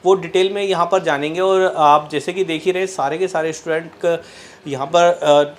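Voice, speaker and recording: Chatty high-voiced man hosting interviews. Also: steady tone, moderate pace, slight background noise. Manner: chatty, repeats "so guys, as you can see". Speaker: host interviewing students. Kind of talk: casual promotional interview. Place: repair training classroom.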